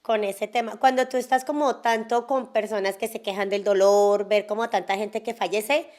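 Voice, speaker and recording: A woman with a Colombian accent from Cali, a guest in a podcast interview telling her story frankly in a relaxed way, with a lot of fillers. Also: mid-range voice, moderate pace. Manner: frank, relaxed, many fillers and little asides. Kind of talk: casual conversational storytelling.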